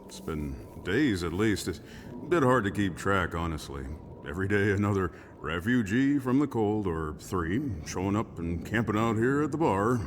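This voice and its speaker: Actor as deep-voiced, lilting, genial barkeeper. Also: male voice, lively intonation, moderate pace, a little hiss or hum, lightly acted. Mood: troubled, musing. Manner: folksy, easygoing, wry. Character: genial, world-weary small-town barkeeper. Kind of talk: cinematic video game drama acting.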